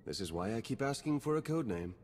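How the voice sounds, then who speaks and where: An actor playing a brash, earnest spy agent in a sci-fi video game, talking in a wry, intense, informal way. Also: male voice, mid-range voice, lively intonation, quick pace, a little mellow, big-room sound, a little hiss or hum, close to an everyday voice. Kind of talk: video game cutscene acting.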